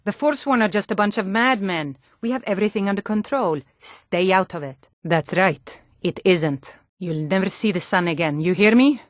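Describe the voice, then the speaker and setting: A woman's voice: medium pitch, normal speed, few pauses, no room sound, studio quality, lightly acted. A woman playing a hard, corrupt city guard in a fantasy game, speaking in a curt, threatening, authoritative way. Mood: menacing, scornful. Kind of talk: fantasy video game dialogue.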